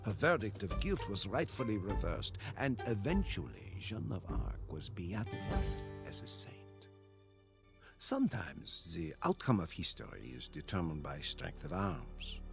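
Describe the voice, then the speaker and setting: Gravelly French patriot soldier. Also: male voice, lowish voice, sing-song, a little slow, music in the background, heavily acted. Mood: solemn, proud. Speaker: campaign narrator. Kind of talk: scripted dramatic narration.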